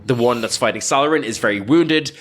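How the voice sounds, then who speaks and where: Man improvising as a tabletop game master. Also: mid-range voice. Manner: halting, filler-heavy descriptive improvising. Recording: background music under the voice.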